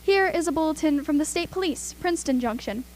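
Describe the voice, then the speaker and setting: Woman acting a high-voiced radio news announcer. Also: fairly quick, a little mellow, slight background noise. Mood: urgent, formal. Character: earnest radio news announcer delivering emergency bulletins.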